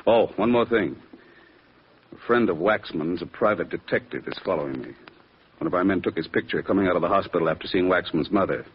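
An actor playing a shady, self-assured crooked lawyer, businesslike and scheming, in a 1940s-style radio drama. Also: male voice, sits low, slightly rough. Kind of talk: 1940s radio villain acting. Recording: dull vintage radio recording.

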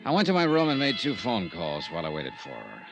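An actor playing a cool, wry, persistent insurance investigator in a 1950s hardboiled radio drama. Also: male voice, low-pitched, huge pitch swings, quick pace, over loud music, exaggerated voice. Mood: matter-of-fact narration. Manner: wry, probing. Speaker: lead character and narrator. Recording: noisy 1950s radio recording.

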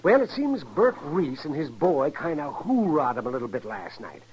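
High-pitched cantankerous frontier doctor. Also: male voice, very animated, normal speed, a little mellow, slightly rough, muffled recording. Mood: grumbling. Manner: sputtering, grumbling, folksy. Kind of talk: 1950s radio western acting. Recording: noisy old radio recording.

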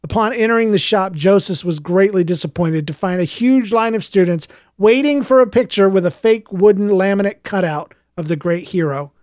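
A man with a slightly gravelly voice reading aloud from his own book, then chatting hesitantly with his listeners as a podcast host, with lots of fillers and restarts. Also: sits high, normal speed, few pauses, a little mellow, no echo, clean studio sound. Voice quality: a little gravelly.

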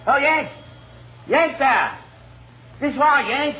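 An actor playing a breezy, good-natured cavalry lieutenant with a chummy, slangy, slightly hesitant manner in an old radio drama. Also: male voice, a little high, very animated, moderate pace, breathy edge, phone-quality sound, heavily acted. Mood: hearty. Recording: thin, lightly noisy old radio recording.

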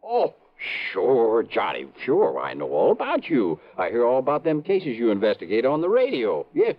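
An actor playing a gullible, chatty small-town police chief in a 1950s radio drama, with folksy, rambling, ungrammatical speech. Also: male voice, medium pitch, huge pitch swings, normal speed. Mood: chummy, evasive. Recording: dull vintage radio recording.

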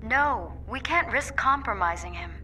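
Serious young jedi heroine, bright crisp voice, bit far off, audio drama. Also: female voice, a little high, expressive, a little slow, slight background noise. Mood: resolute. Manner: serious, resolute. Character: earnest, determined young Jedi woman. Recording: slightly distant over background music.

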